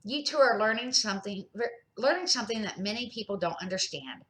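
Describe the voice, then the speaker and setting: A woman's voice: mid-range voice, lively intonation, brisk, fairly bright tone, natural voice. A woman reading the part of a kindly older male neighbor who patiently explains things to children in a storybook. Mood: patient teaching.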